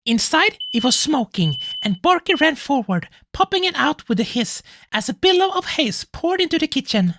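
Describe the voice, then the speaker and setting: Woman voicing a high-pitched, anxious furry animal dad. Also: big pitch swings, moderate pace, few pauses, a bit bright, no echo. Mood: narrating. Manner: hesitant, stammering, emotive. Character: anxious, flustered furry animal dad, gentle and easily overwhelmed. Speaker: narrator. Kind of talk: dramatic first-person visual novel reading.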